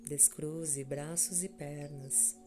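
A woman with a Brazilian accent leading a scripted guided meditation in a soothing, measured way, with long pauses between short phrases. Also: low voice, fairly even intonation. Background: background music.

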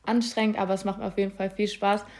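19 yr old woman, talks fast, pretty monotone. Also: medium pitch. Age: late teens. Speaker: guest. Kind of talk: casual chat.

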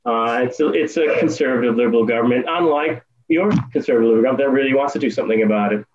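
A man's voice: mid-range voice, rapid-fire, lo-fi sound, a little hiss or hum. A man in his fifties, originally from the United States, answering interview questions in a casual, hesitant way with many ums and restarts. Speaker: guest. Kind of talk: casual interview over a call.

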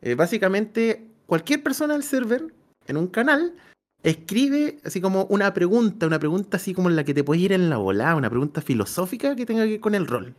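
A man's voice: high voice, sing-song, fairly quick. A man with a Chilean accent chatting casually and colloquially as co-host of a role-playing livestream. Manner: colloquial, slangy.

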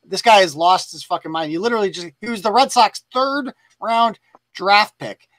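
Male host, middle-aged, high bright voice, loose animated banter. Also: moderate pace, no echo. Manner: laughing, animated, reads posts aloud. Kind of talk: casual live show banter.

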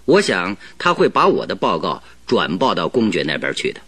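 An actor playing a composed, politely teasing detective in a radio play. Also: male voice, low voice, wildly swooping pitch, moderate pace, sharp tone, slightly rough, no room sound, heavily acted. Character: calm, wry male detective, middle-aged. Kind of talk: 1970s Chinese radio drama acting.

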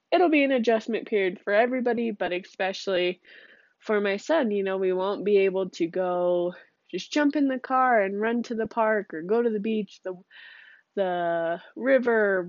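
A woman's voice: medium pitch, no room sound, muffled recording. Sick young woman chatting casually. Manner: rambling, casual, laughs often, says um and like. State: sick with a cold and cough. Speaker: podcast host. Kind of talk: casual solo podcast chat. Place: home room with a fan.

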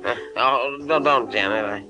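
An actor playing a slow-witted, lovesick American working man in an old-time radio drama, hesitant and stammering. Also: medium pitch, music in the background, natural voice. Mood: drowsy, smitten. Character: slow-witted, lovesick payroll messenger, an ordinary fellow.

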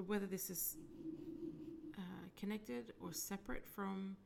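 A woman with a croaky voice, giving a hesitant psychic reading full of ums and whispered asides. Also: mid-range voice, steady tone, measured pace, darkish tone, slight background noise. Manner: hesitant, filler-heavy, drifting into whispered asides. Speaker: psychic medium. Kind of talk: solo psychic reading monologue.

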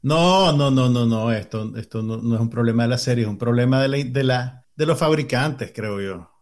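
A man's voice: mid-range voice, moderate pace. An older man, chatting casually as a podcast co-host and explaining his points at length with many restarts. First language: Spanish. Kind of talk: casual chat.

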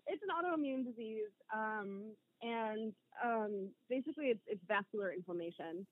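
An American woman in her thirties from Rhode Island talks casually and candidly as an interview guest, often pausing to find words. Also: fairly high pitch, expressive, dry recording, phone-quality sound. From Rhode Island, United States. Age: 30s. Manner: informal, candid, with hesitant pauses and hedging. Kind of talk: casual personal conversation.

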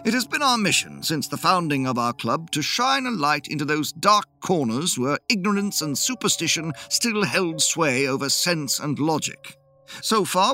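A man reading the part of an earnest Victorian gentleman who leads a rationalist dining club, speaking in a declamatory manner. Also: fairly high pitch.

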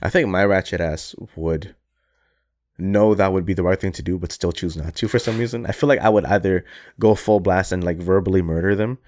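Young man, low voice, animated, swears a lot, jokey podcast banter. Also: a little fast. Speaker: podcast co-host. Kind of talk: casual chat.

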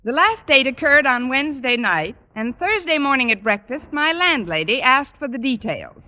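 A woman playing a dry-witted schoolteacher who narrates and trades deadpan wisecracks in a 1950s radio sitcom. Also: high voice, normal speed, exaggerated voice. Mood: wry. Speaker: English teacher and narrator. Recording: dull old radio broadcast recording.

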